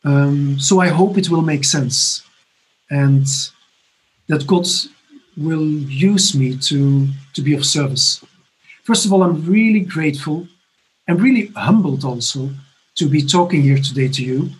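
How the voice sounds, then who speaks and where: Halting Belgian-born man in his fifties. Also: fairly high pitch, low-quality recording. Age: 50s. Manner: halting, many restarts and pauses, earnest. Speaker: recovery conference speaker. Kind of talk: personal testimony talk. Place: home apartment.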